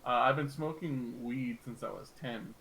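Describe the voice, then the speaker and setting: A man's voice: medium pitch, moderate pace, creaky, low-quality recording. Mid-voiced man, blunt, profane, casual on a group call. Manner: blunt, swears casually, laughs loudly. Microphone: online call.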